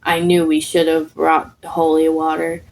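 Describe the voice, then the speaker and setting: A woman's voice: low-pitched, completely flat delivery, unhurried, gravelly, slight background noise. A woman reading the part of a blunt, irritable teenage boy in a story, grumbling. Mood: irritated. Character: irritable, blunt, hot-headed teenage male student.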